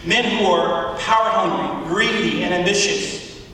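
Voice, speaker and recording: Echoey, high-voiced man preaching. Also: fairly even intonation, pushed and bright. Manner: emphatic, deliberate, with rhetorical questions and pauses. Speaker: preacher giving a public address. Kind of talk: sermon. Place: large auditorium. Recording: echoey hall from far off, faint noise.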